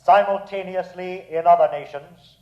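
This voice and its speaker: An actor playing an imperious, coolly confident robot invader in a 1950s radio drama, speaking formally and with measured condescension. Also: male voice, high voice, steady tone, darkish tone, close to an everyday voice. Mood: coolly authoritative. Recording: roomy, muffled old radio recording.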